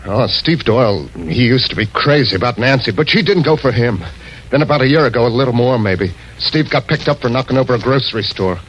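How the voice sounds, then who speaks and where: A man's voice: mid-range voice, lively intonation, brisk, muffled recording, natural voice. A man playing a surly, evasive American small-time crook who informs grudgingly, in slangy 1940s radio crime drama. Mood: resigned. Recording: noisy vintage radio recording.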